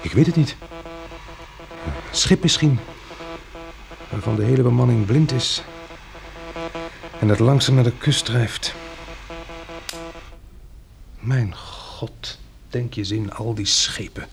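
An actor playing a practical, resolute man planning survival after a catastrophe in a Dutch radio drama, hesitating as he thinks aloud, with a husky, gravelly voice. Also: mid-range voice, takes it slowly, long pauses, music in the background. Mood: grimly pondering.

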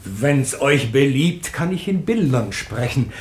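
Wistful aging stage actor, echoey. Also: male voice, medium pitch, lively intonation. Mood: solemn declaiming. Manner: declaims verse slowly, then mutters doubts haltingly. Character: aging stage actor rehearsing his farewell role, anxious, wistful. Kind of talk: German radio drama acting.

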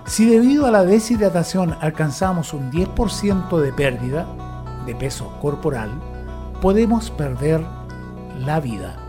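A narrator reading a scripted, informative text in a measured documentary style. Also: medium pitch, expressive. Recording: music bed under the voice. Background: music.

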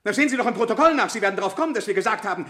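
Nervous cornered defendant, wavering pitch. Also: male voice, animated, sharp tone. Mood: desperate. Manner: halting, defensive, agitated. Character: cornered male murder defendant, evasive and nervous. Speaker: actor in a radio play. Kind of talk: 1970 German radio drama acting.

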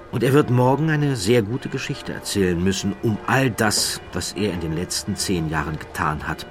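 Brief: male narrator, very low voice, grave measured delivery, faint music underneath. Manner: measured, grave storytelling with hushed passages. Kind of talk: scripted documentary narration.

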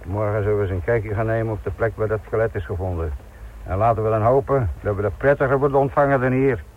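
A man's voice: fairly low pitch, steady tone, quick pace, close to an everyday voice. A man playing a persistent police inspector in a radio play, politely apologetic and probing, with hesitant phrasing. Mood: brisk. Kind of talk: Dutch radio play acting. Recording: tinny narrow-band old radio recording, hiss.

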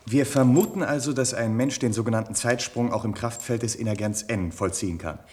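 A man's voice: medium pitch, fairly quick, a little mellow, close to an everyday voice. A man acting in a radio play as a cautious junior scientist, polite and questioning. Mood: questioning, concerned. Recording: noisy old radio play recording.

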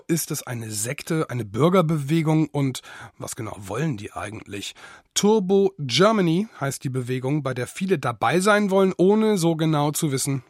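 A man introduces a radio play in a musing, informal way. His voice is mid-pitched, and the studio recording is dry and close. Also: no echo. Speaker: radio drama presenter. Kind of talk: scripted introduction, conversational.

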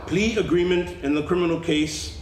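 A man reading a legal document aloud in a formal, even way. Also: sits high, normal speed, a little hiss or hum. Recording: echoey room.

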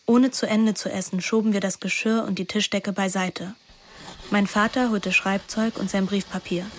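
An actress playing a melancholy teenage daughter, narrating a radio play in a measured, introspective way. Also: female voice, medium pitch, steady tone, a little hiss or hum, close to an everyday voice. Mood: subdued.

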